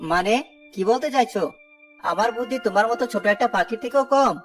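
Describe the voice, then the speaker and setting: Lady doing greedy bird catcher guy, talks fast, blunt and pushy, cartoon w music loud behind. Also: a little high, lightly acted. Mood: impatient. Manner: threatening, blunt. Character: greedy, impatient man who catches birds. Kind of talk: children's cartoon dialogue.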